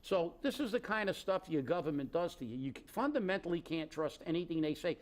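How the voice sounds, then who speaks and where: A man's voice: on the high side, expressive, a little fast. A man co-hosting a local political talk show, ranting in an indignant, sarcastic way and rambling with restarts. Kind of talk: opinionated casual political chat.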